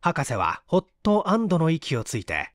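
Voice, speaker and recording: A man's voice: fairly high pitch, wildly swooping pitch, a little slow, no echo, studio quality. A man reading a mystery novel aloud as dramatic scripted narration. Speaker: audiobook reader.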